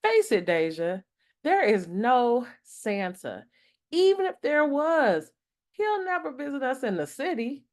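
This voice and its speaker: A woman's voice: high-pitched, big pitch swings, slow pace, darkish tone, pitched above the performer's own voice, exaggerated voice. A woman voicing a skeptical, taunting schoolchild in a picture book, with a blunt, challenging manner. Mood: scoffing.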